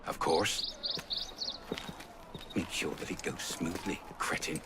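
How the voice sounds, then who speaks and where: Loud noise surrounds a very deep male voice. a sneering game villain, a sardonic conspirator with dry contempt for those around him. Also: steady tone, slow. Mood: sneering, mocking.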